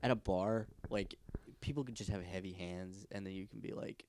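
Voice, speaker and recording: A man's voice: low voice, normal speed, a little mellow, a little hiss or hum. Nasal, rambling man in his twenties. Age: 20s. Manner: rambling, laughing, swearing freely. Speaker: podcast co-host. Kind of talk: casual chat.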